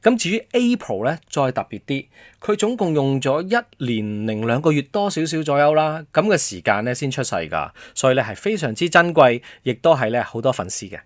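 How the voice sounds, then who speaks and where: Male quiz host, Hong Kong Cantonese accent, lively intonation, dry close sound, playful explainer who keeps feeding hints. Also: mid-range voice, moderate pace, no echo. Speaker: radio host and quizmaster. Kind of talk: casual promotional quiz chat.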